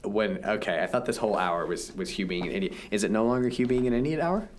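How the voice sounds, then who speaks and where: A young man co-hosting a podcast, teasing and joking in casual banter, with a deep voice while a little sick. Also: quick pace, slight background noise. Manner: teasing, joking, self-mocking. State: slightly sick. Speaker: podcast co-host.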